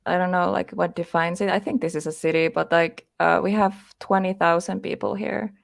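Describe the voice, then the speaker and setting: A young woman from Finland talks in English with a clear Finnish accent. Her voice sits low with a gravelly edge, and the sound is close and dry. She chats casually and hesitantly, filling gaps with likes and ums. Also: steady tone, brisk, no room sound. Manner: hesitant, many fillers, frequent laughs. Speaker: guest. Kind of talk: casual interview chat.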